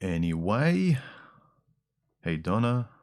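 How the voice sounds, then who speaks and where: A man with an Australian accent hosting a casual livestream into a dynamic mic, with a deep, slightly harsh voice and rambling, restart-filled speech. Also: very animated, slow, darkish tone. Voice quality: deep voice with some harshness in the mids and lows. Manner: rambling, with frequent ums and restarts. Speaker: livestream host. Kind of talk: casual livestream chat reading comments. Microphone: dynamic broadcast mic.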